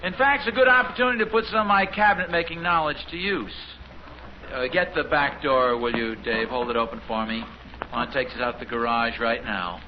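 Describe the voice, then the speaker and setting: A man's voice: mid-range voice, brisk, close to an everyday voice. A man playing a boastful, fumbling middle-aged suburban dad and amateur handyman in 1950s American radio sitcom style. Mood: eager, self-important. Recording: noisy, muffled 1950s radio recording.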